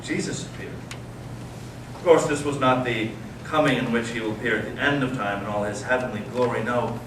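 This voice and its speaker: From a distant mic in an echoey space comes a man acting as an intense intellectual older brother. He delivers readers theater dramatically, moving between hushed narration and anguished, mocking passion at a medium pitch. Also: steady tone, fairly quick, lightly acted. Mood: hushed storytelling. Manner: dramatic, swinging from hushed to impassioned. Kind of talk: staged readers theater monologue and storytelling. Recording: echoey stage, distant mic, clear noise.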